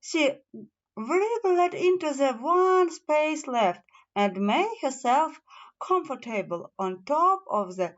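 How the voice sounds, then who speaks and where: Female storybook reader for fairy tales: animated intonation, unhurried pace, slightly muffled lo-fi sound. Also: sits high. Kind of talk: children's fairy tale narration.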